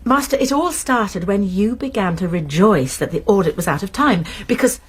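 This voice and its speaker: A woman's voice: mid-range voice, expressive, normal speed, husky. An actress playing a shrewd British woman amateur sleuth in a radio drama, explaining things precisely and earnestly with small stammers.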